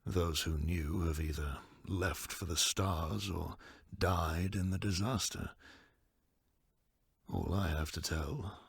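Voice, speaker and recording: An actor playing a very old, lonely man who speaks in a halting, wistful and resigned way in an audio drama. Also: low voice, fairly even intonation, gravelly, husky, no echo, clean studio sound, exaggerated voice. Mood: weary, reflective. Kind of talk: audio drama character acting.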